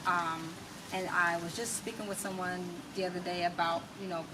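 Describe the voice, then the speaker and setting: Low-pitched American woman, distant and noisy. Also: little pitch movement, moderate pace. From United States. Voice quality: small, soft-projecting voice. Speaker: interview guest, natural healer and spoken word artist. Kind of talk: casual interview talk. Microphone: camera mic at a distance, no wireless mic. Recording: distant mic, noisy ambient background.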